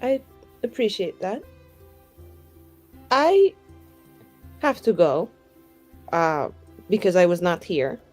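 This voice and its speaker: Woman playing a flippant, low-voiced spellcaster. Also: very animated, darkish tone, faint music behind, full character voice. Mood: sheepish. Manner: casual, rambling, halting. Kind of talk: improvised tabletop roleplay.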